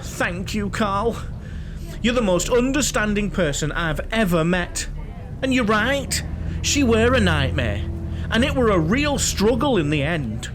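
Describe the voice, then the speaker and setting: A man's voice: high voice, very animated, unhurried, noisy background. A man playing a chatty, cheerfully sinister holidaymaker with a Northern English accent, gushing and guileless, in an audio drama. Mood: grateful, earnest.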